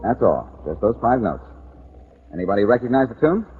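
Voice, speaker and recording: A cocky wisecracking radio detective. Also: male voice, on the low side, sing-song, brisk, soft background music. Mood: wry. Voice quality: smooth, breezy, teasing. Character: wisecracking reformed-thief male detective. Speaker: lead detective. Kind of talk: 1940s radio acting. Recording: tinny old radio broadcast with faint hiss.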